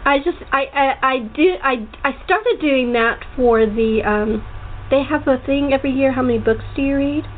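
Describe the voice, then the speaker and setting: High-voiced woman on a tinny phone line. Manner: rambling, halting, restarts sentences often. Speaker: talk show host. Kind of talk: casual chat interview. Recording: tinny phone-line sound with faint noise.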